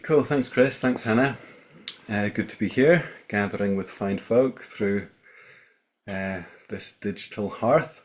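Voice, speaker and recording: A man from the Scottish Highlands with a Scottish accent, a storyteller introducing himself informally on a group video call in halting, thoughtful phrases. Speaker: storyteller, guest speaker.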